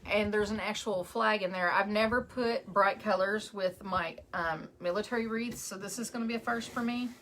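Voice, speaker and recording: A woman's voice: medium pitch, normal speed, hardly any pauses, fairly bright tone, a little hiss or hum. A woman with a West Texas accent hosting a live crafting stream from home, chatting casually and greeting viewers by name as she works. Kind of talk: casual chat while crafting.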